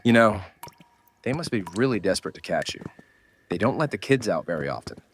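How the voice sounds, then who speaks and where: A world-weary survivor with a low, gruff voice, played by a man, speaks bluntly, like a stern mentor in a horror audio drama. There is slight noise behind the voice. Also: big pitch swings, fairly quick. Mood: subdued, grim. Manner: blunt, scolding, urgent.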